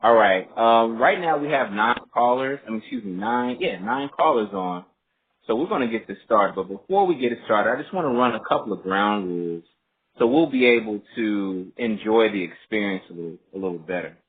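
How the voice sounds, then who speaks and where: A man hosting a group phone call, talking casually and politely in a halting, explanatory way. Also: on the low side, expressive. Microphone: telephone conference line. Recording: muffled phone line with clear noise, faint.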